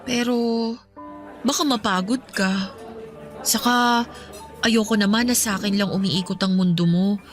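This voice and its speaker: A woman's voice: medium pitch, unhurried, long pauses, faint music behind, close to an everyday voice. A woman playing a hesitant, apologetic young woman. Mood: doubtful. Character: young working woman caring for her family. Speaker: radio drama actress. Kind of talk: radio drama acting.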